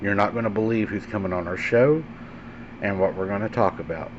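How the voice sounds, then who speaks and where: A man with a fairly low voice narrates a horror tale in a dramatic, suspenseful manner. His phrasing is deliberate and emphatic. The recording is dull and lo-fi, with faint noise behind him. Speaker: host and narrator of a paranormal story show. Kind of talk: dramatic scary-story narration. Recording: dull lo-fi sound with faint background hum.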